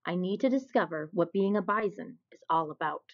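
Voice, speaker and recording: A woman reading a children's picture book aloud in an expressive storytelling style. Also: fairly low pitch, a little fast. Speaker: storybook reader. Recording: dull, lo-fi recording.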